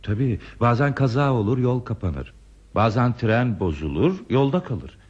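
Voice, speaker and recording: An actor playing a lonely, modest railway signalman in a radio play, speaking in a measured, wistful, plainspoken way. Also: mid-range voice, very animated, a little mellow, breathy edge, highs cut off. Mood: plainspoken, reminiscing. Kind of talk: Turkish radio theatre acting.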